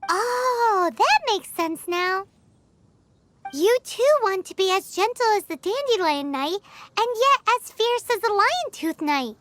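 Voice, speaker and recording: A woman's voice: extremely high pitch, lively intonation, slow pace, fairly bright tone, full character voice. A woman voicing a tiny, chirpy, nosy floating female companion in a video game. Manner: bubbly, blunt and curious.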